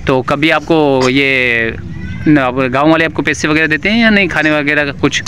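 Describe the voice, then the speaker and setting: A man's voice: on the high side, moderate pace, bright, forceful tone, a little hiss or hum. Casual vlogger guy outdoors, phone mic, pleading tone, calls out to viewers a lot. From Pakistan. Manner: repetitive, pleading, keeps calling out "guys" and "friends". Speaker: village vlogger. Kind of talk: walking tour vlog with interviews. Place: outdoors in a village among huts. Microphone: handheld phone camera.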